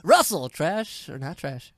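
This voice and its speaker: A woman hosting a casual group movie review, exclamatory, giggly and quick to argue her point. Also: low-pitched, big pitch swings, very noisy. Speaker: movie review show host.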